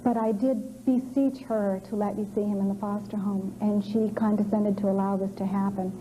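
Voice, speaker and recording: A middle-aged woman answers an interviewer in a reflective way. Her voice is very dark and soft-edged, her phrasing measured and articulate. There is faint noise behind her. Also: mid-range voice, flat delivery, poor audio. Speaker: birth mother being interviewed.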